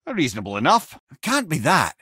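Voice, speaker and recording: An actor playing a wry old sage in a video game, an eloquent, theatrical storyteller with a Scottish accent. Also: male voice, on the high side, huge pitch swings, a little fast, bright, forceful tone, slightly rough, no echo, clean studio sound, full character voice. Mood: measured storytelling.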